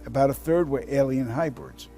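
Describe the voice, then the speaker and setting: A man tells a personal account in measured narration. His voice is dark and mellow at a middling pitch, with faint music underneath. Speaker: testimonial narrator.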